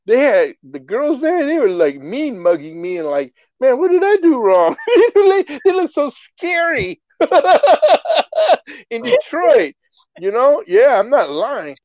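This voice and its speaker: A middle-aged man from the Philippines, a guest in a casual interview, telling stories with lively excitement. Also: very high voice, takes it slowly, dry recording, muffled recording. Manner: animated storyteller, gets excited and laughs while telling stories. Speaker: guest, music artist.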